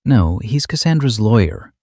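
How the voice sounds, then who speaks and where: An actor playing an AI house manager in a radio drama, coolly literal and slyly meddling, with formal, pedantic speech. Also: male voice, fairly low pitch, very animated, moderate pace, darkish tone. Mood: matter-of-fact. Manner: formal, pedantic, matter-of-fact.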